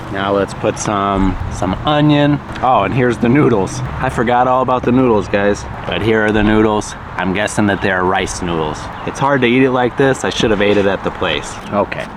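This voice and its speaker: An American man speaking English as his native language, eating and talking slowly and clearly while he explains words for learners. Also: lowish voice, background noise. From United States. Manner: slow, deliberate, explains words as he goes. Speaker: language teacher and vlogger. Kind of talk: slow, clear English lesson vlog.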